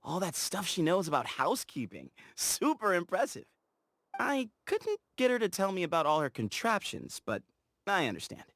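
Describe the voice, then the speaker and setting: An actor voicing an easygoing young desert scout in a game, talking in an upbeat, playful way. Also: male voice, sits high, huge pitch swings, moderate pace, fairly bright tone, slight background noise, heavily acted. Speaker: game character. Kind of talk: game voice lines.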